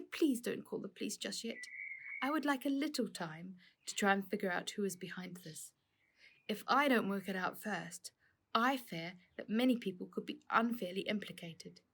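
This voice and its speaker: A man's voice: high-pitched, vocal fry, fairly bright tone, slightly rough, full character voice. A woman voicing a troubled, kindly uncle, a factory owner on the run, in an earnest storybook reading. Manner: earnest, troubled, exclaiming in surprise.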